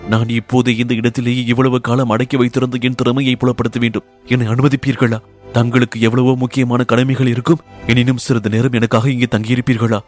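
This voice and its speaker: A man's voice: medium pitch, level tone, a little fast, faint music behind, lightly acted. A man voicing a devoted, humble woman temple dancer, speaking in earnest, poetic and pleading lines for an audiobook.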